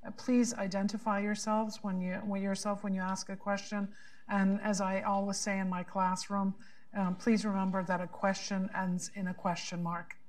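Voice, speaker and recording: A woman's voice: mid-range voice, level tone, normal speed, a little mellow. A woman moderating a think-tank panel, thanking the speaker formally and opening the floor, with frequent hesitations. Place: conference room.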